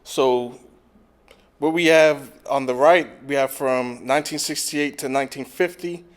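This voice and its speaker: A man, a physical therapy professor, giving a relaxed online lecture with frequent fillers. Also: medium pitch, unhurried, smooth. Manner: relaxed, with frequent "um" and "you know". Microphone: video call.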